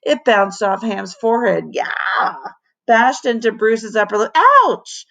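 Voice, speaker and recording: A woman teacher reading a children's story aloud in an expressive narration, with dramatic emphasis on key words. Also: on the high side, moderate pace, gravelly.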